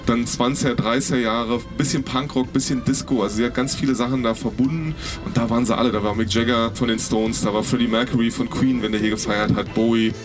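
Fast-talking casual man. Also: medium pitch, steady tone, music in the background. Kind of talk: casual spoken guiding.

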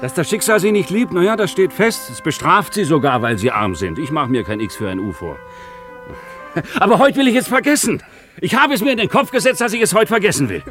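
An exuberant young working man in love in a 1950s radio drama. His voice sits high and leaps up and down, bubbling with hope and laughter, with music playing behind him. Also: a little fast. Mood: defiant, playful. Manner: excitable, laughs through his speech. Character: exuberant young working-class craftsman in love, defiantly hopeful.

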